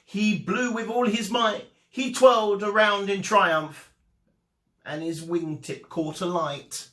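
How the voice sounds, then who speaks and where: A man reading a children's storybook aloud as a storyteller, with expressive, dramatic emphasis. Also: sits high, slow pace. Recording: echoey room.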